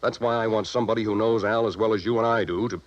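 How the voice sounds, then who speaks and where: A man's voice: lowish voice, steady tone, quick pace, muffled recording, close to an everyday voice. An actor playing a worried insurance company man in a 1950s radio drama, speaking urgently and pleading. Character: worried male insurance company boss, earnest. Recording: vintage radio drama recording.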